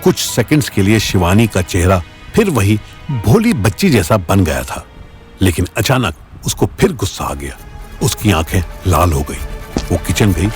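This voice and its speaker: Male narrator for dramatic audio fiction; lowish pitch, very expressive intonation, music bed. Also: moderate pace. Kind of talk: dramatic scripted story narration.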